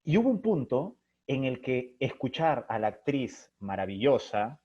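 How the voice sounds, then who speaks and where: A man talks as an interview guest, thinking his way through each point. His voice sits at an average pitch and his delivery is expressive and anecdotal. The sound is muffled and lo-fi, as from an internet call. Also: unhurried. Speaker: interview guest, theatre playwright and director. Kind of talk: reflective interview conversation.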